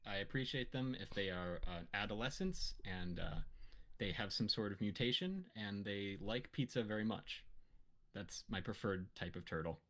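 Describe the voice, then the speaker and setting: A man's voice: lowish voice, moderate pace, a bit bright. A man co-hosting a casual livestream with dry, wry humor. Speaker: streamer co-host.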